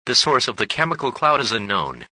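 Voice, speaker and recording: Male emergency alert announcer, synthetic delivery, forceful bright tone, bone-dry sound, formal script. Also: mid-range voice, very animated, a little fast, no echo.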